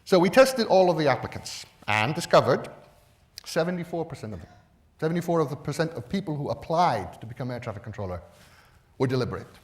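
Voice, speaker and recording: Man giving a measured conference talk. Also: on the high side, sing-song. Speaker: conference speaker. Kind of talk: conference presentation.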